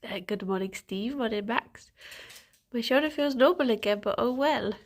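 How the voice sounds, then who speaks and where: A woman's voice: fairly high pitch, moderate pace. Casual woman streamer, young, stuffy-nosed, giggly and chatty. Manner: chatty, giggly, greets viewers by name. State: congested, stuffy nose. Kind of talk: casual morning chat with viewers.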